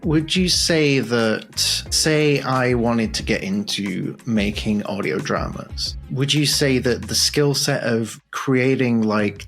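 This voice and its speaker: A man hosting a podcast in a casual, conversational interviewing style. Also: mid-range voice. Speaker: podcast host.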